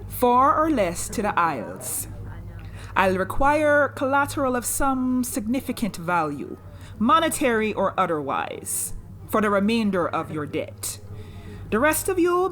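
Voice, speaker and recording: A commanding woman smuggler speaks in an audio drama. Her pitch moves widely as she lays out hard terms. She is wry, shrewd and deliberate, and bends her grammar. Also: a bit bright.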